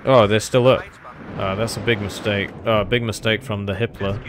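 A man's voice: lowish voice, fairly even intonation, moderate pace, noisy background. A man giving casual, rambling and self-deprecating commentary on his own strategy game as he plays.

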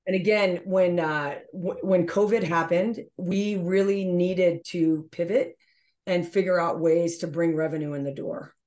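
A woman's voice: fairly low pitch, fairly even intonation, unhurried, a little mellow. A middle-aged woman giving explanatory answers as an interview guest on a video call. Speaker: interview guest, gym owner.

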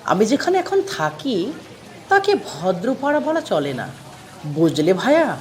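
Animated high-voiced manager friend, acted by a man. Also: a little slow, slight background noise, full character voice. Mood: chummy, complaining. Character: male factory manager, brooding, bookish, chummy friend. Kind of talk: audio story character acting.

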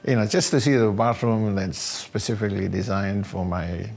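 An older man from a small island, telling his personal story in an interview in a reflective, halting way. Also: on the low side, creaky, darkish tone. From small Pacific island north of Fiji. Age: older, about 60. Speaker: housing tenant giving a testimonial. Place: small living room at home, indoors. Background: background music.

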